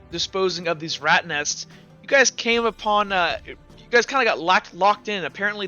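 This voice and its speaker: A man working as game master, casually recapping a tabletop game in a halting way, stumbling over his words. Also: fairly high pitch, bright, forceful tone.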